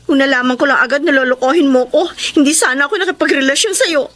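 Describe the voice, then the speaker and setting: High-voiced, wounded young jilted woman. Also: fast talker, a bit bright. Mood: bitter, angry. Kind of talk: Filipino radio drama acting.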